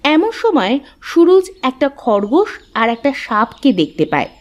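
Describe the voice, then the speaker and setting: A woman's voice: high voice, wildly swooping pitch, moderate pace, dull audio. A woman reading scripted narration for a cartoon story.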